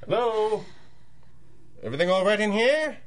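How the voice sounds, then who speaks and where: A man's voice: high-pitched, expressive, moderate pace. A man playing a genial, self-assured wealthy boss in a radio drama, polite and breezy. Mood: courteous. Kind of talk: 1930s-style radio drama acting.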